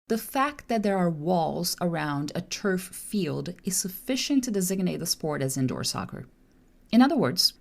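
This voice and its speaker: Woman, podcast host, lower voice, animated pitch, reads a script carefully. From Brazil. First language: Portuguese. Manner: reads a prepared script in a measured, explanatory way.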